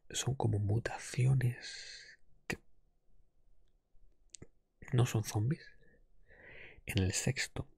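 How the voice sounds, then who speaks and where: A man hosting an ASMR podcast monologue in a hushed, breathy whisper, explaining things hesitantly. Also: lowish voice, no echo. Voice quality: breathy, mostly unvoiced whisper. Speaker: podcast host.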